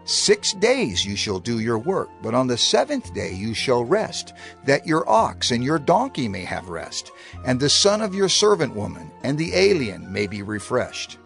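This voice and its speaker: A man's voice: mid-range voice, wildly swooping pitch, a little slow, vocal fry, fairly bright tone, heavily acted. A man playing God as a stern, solemn lawgiver, declaiming commandments emphatically in a dramatized audio Bible. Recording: music under the voice.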